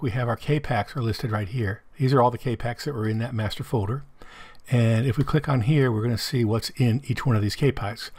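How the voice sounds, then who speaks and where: Hoarse man walking through a tutorial. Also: medium pitch. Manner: step-by-step, plain explanatory. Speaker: software tutorial host. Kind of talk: screen-recorded software tutorial. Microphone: desktop mic, voice-over of screen capture.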